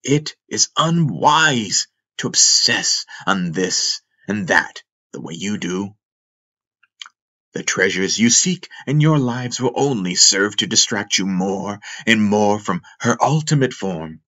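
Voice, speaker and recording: Man reading as grave, deep-voiced aging spiritual father. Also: animated, takes it slowly, pushed and bright, full character voice. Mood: grave warning. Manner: grave, exhorting, emphatic. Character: aging wise spiritual father and ruler, earnest, pleading. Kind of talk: fantasy audiobook character reading.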